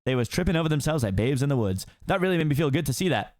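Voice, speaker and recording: Guy doing a squeaky hyper talking donkey, chatters nonstop, swoops all over, dry close sound. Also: very fast, dry recording, lightly acted. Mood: excited. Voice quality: excitable, high and chattering.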